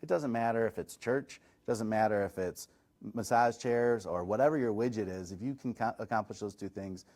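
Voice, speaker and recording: Relaxed, chatty man with a lowish voice. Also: fairly quick. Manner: relaxed, self-deprecating, chatty with fillers. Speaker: chiropractor and massage chair reviewer. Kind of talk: casual podcast interview answers.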